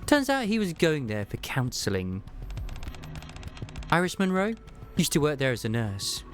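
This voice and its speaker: A man voicing a brisk, businesslike female agent explaining a mission in a story game. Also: a little high, very animated, a bit bright, pitched above the performer's own voice. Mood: brisk, serious. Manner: matter-of-fact, explanatory, businesslike. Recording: music behind the voice.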